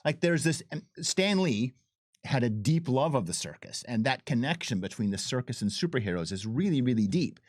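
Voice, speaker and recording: A man's voice: medium pitch, big pitch swings, moderate pace. Guy chatting like a game dev, really emphatic, repeats stuff for punch, dry sound no echo. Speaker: game developer giving commentary. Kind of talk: casual chat, explaining with enthusiasm.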